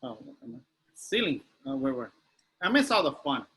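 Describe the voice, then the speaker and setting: A man's voice: mid-range voice, big pitch swings, moderate pace, pushed and bright, husky, heavily acted. Swooping man as a tactless sitcom oaf. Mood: clueless, put out. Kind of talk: amateur table read acting.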